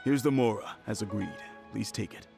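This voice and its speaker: A gravelly, fussy rich innkeeper. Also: male voice, on the low side, normal speed, over music. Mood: polite. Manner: polite, flustered, rambling. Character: rich, fussy innkeeper man from a jade-mining family. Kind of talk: video game dialogue.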